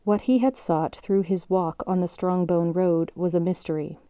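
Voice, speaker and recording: A woman with a very dark, soft-edged tone acts as a documentary narrator. She sits a little low and delivers sober facts solemnly in a dry, close recording. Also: steady tone, moderate pace, dry recording, lightly acted. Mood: sober, factual. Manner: factual, solemn. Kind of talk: scripted narration.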